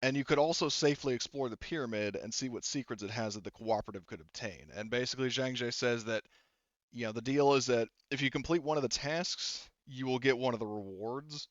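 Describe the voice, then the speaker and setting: A man improvising narration as a tabletop game master, conversational, halting and casually profane. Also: medium pitch, no room sound, highs cut off.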